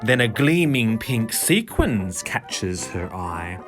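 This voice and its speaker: A man reading a children's picture book in verse, with expressive, dramatic, rhythmic narration. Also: fairly low pitch, slow, faint music behind.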